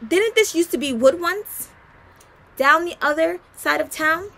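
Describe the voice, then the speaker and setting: Woman playing folksy country man, wistful and cautious, high bright voice, storybook character, plain-spoken. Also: measured pace, gravelly, full character voice. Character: wistful, cautious old-time country husband.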